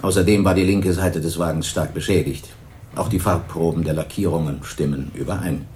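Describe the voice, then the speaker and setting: Radio crime play: actor doing a deep, formal detective, slow official questioning, faint hiss behind. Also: male voice, fairly even intonation, a little mellow, close to an everyday voice. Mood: calm. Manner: measured, official, probing. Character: calm, formal male police investigator. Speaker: police detective. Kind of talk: 1980s radio crime drama.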